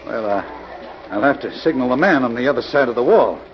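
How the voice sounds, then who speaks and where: A man's voice: mid-range voice, expressive, brisk, lightly acted. Guy doing caught crook explaining stuff, 40s radio drama, muffled hissy old recording. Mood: compliant, explanatory. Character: Evans, captured male crook reluctantly explaining his secret mechanism. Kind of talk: 1940s radio acting.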